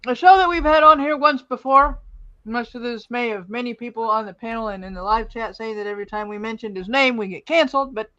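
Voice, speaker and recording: A slightly dull recording of a high-pitched woman's voice. She hosts a panel in a loose, conversational style, making casual commentary. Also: fast talker, sharp tone. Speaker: host of a listening panel show.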